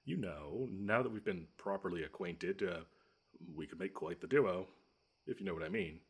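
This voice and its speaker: Audio drama: pompous, grumbling male bed monster, man performing, low rough register, sardonic and menacing. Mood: conspiratorial. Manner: pompous, wordy, drawn-out menace with dry sarcasm. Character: grumpy, pompous male monster lurking under a child's bed. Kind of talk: scripted audio roleplay monologue.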